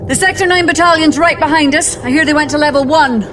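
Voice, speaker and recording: A woman's voice: high voice, fast talker, noisy background, natural voice. A woman playing a weary, war-torn soldier. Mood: reporting. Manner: earnest, tired soldierly reporting. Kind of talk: audio drama acting. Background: music score.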